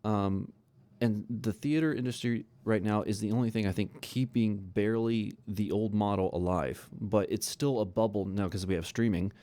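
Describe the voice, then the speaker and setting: Low-voiced man, casual podcast host. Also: moderate pace, mellow, no echo. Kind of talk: casual conversational opinion.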